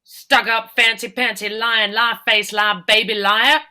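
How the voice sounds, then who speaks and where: A woman voicing a scrappy, sneering mother rat pirate who talks in rough, taunting slang in a comedy table read. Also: on the high side, level tone, measured pace, sharp tone. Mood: scornful taunting.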